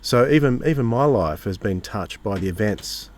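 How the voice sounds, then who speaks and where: Male podcast co-host, lowish voice, casual conversational delivery. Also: animated, normal speed, darkish tone. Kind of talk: casual interview chat.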